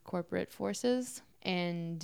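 A woman, a musician, answers questions in a relaxed, halting way, circling back with fillers as she thinks. Her voice sits a little low and has a gravelly edge. Even so, it comes through smooth and clear. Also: no echo, clean studio sound. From Canada. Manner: hesitant, full of fillers and restarts. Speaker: musician being interviewed. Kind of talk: casual interview answers.

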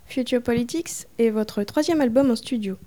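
A woman's voice: fairly high pitch, moderate pace, mellow. A woman interviewer reads out long, prepared questions in a formal, careful manner.

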